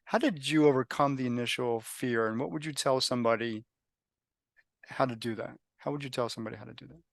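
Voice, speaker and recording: A man's voice: medium pitch, a little fast, creaky, no room sound, clean studio sound. A man hosting a podcast interview, asking questions and often rephrasing or repeating them. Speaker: podcast host.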